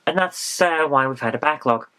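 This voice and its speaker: A woman's voice: low voice, moderate pace, sharp tone. A woman with a congested, bunged-up voice from illness, chatting rambly in a casual home vlog with frequent fillers and restarts. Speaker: vlogger. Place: small warm home office, indoors.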